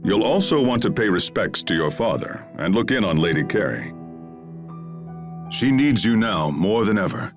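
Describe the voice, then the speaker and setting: A middle-aged knight captain in a game drama, with a deep yet expressive, wide-ranging delivery. He is steady, formal and commanding. Music plays clearly behind him. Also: normal speed. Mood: authoritative, kindly. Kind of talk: English video game voice acting.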